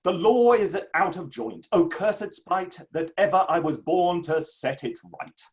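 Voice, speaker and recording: A man's voice: high voice, a little slow, a little mellow. A man in a play reading, acting a florid, theatrical Victorian playwright with a declamatory manner. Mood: aggrieved, declamatory. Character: flowery, theatrical, litigious Victorian playwright and gentleman.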